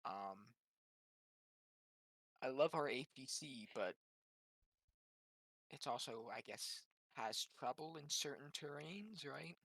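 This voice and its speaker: Male tabletop player, mid-pitched, conversational, very dry recording. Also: animated, slow, pauses often, fairly bright tone, no echo. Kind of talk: casual chat.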